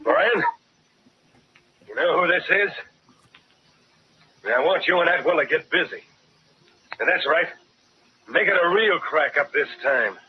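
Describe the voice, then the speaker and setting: A crooked, pushy businessman in an old radio drama. His voice sits fairly high and swings widely as he blusters and schemes. The recording is muffled and noisy. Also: expressive, unhurried, pauses often. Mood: angry, scheming. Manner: bossy, pushy. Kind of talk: 1940s radio acting.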